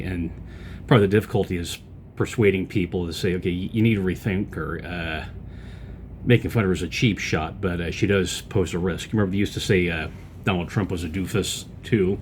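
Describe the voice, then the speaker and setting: Low-voiced man hosting a rambling commentary podcast. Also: slight background noise. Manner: rambling, loose delivery with many fillers and restarts. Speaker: podcast host. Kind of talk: casual political commentary monologue.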